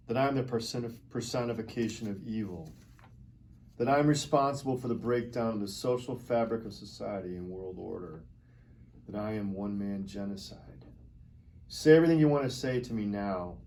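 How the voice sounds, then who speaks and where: A man's voice: lowish voice, expressive, moderate pace, a little mellow. A man at a table read playing a cynical arms dealer who is casually, coolly defiant under interrogation. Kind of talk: acted screenplay table read. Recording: noticeable background noise.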